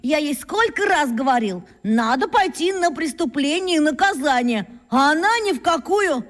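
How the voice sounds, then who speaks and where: A woman playing an excitable, boastful schoolboy who fancies himself a detective, telling his tales breathlessly in a children's radio drama. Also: high-pitched, normal speed. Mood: dismissive, scornful. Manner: breathless, boastful storytelling with exclamations.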